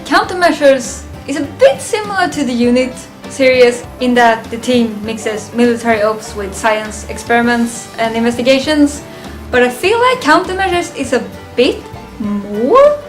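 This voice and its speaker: A woman giving a casual, unscripted fan review of a ranked list, halting and thinking aloud, often chuckling. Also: fairly high pitch, very animated, faint music behind.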